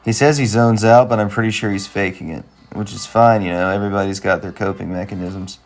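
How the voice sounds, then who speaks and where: Man acting a deadpan, low-pitched young cartoon guy. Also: a little fast, soft background music, lightly acted. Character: wry, plain-spoken young man, skeptical of his cousin. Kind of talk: animated comedy-drama dialogue.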